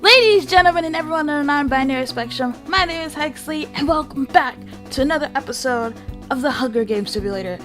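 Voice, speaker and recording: High-voiced woman gaming host over music. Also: expressive, quick pace, fairly bright tone. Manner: theatrical, mock-dramatic announcing mixed with offhand asides. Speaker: host of a gaming channel series. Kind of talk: casual gaming commentary with swearing. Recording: music behind the voice.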